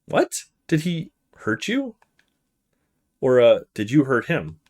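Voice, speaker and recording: A man's voice: medium pitch, sing-song, slow, a little mellow. A man voicing a friendly, easygoing young male university student in a visual novel, chatty and casual. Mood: concerned. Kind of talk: visual novel character read-aloud.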